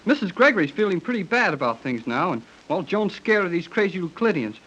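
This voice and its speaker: Excitable slangy american kid, high swoopy voice, dull 1930s radio sound. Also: male voice, fairly quick, heavily acted. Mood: hopeful, determined. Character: eager, plucky, slangy American boy adventurer. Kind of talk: 1930s radio serial acting.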